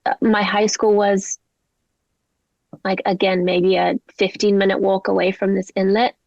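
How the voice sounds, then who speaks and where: A young woman speaking with a blended Canadian and English accent, chatting loosely with lots of fillers. Her voice has a gravelly fry to it. The recording is close and dry, with a slightly dull, muffled tone. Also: mid-range voice, level tone, unhurried, a little mellow, no echo. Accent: mixed Canadian and English. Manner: chatty, rambling, lots of likes and ums. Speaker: singer-songwriter guest. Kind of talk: casual interview chat.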